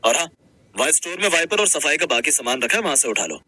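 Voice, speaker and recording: A man's voice: fairly high pitch, sing-song, fast talker, sharp tone, breathy edge, exaggerated voice. A man playing a friendly young restaurant manager in a Hindi cartoon story, explaining things in a casual, businesslike way. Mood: brisk, friendly.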